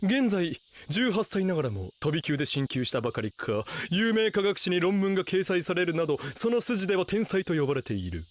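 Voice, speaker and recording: Bombastic high-pitched young mad scientist. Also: male voice, big pitch swings, fairly quick, hardly any pauses. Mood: grandiose. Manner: theatrical, pompous, scoffing. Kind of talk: Japanese anime radio drama acting.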